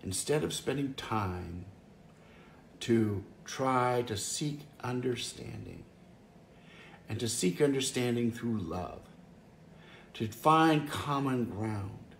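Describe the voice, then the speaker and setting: Earnest middle-aged pastor in echoey room. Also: male voice, medium pitch, animated, slow pace, creaky, pauses often. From Wisconsin, US. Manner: earnest, conversational, frequent restarts. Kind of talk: home devotional talk. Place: living room by a fireplace, indoors. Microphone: camera or phone close up, seated. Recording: echoey room, faint background hiss.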